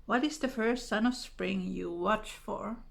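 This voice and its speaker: A woman's voice: mid-range voice, a little slow, creaky. A woman with a Finnish accent, a native Finnish speaker, chatting casually and unhurriedly to viewers in English as a vlogger. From Finland. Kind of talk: casual chat to camera, answering tag questions.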